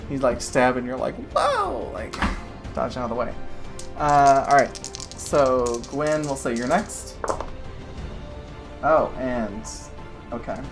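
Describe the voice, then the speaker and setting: A woman's voice: extremely low pitch, very animated, slow, vocal fry, long pauses, music in the background. A woman narrating a tabletop game as game master, loose and giggly with lots of fillers.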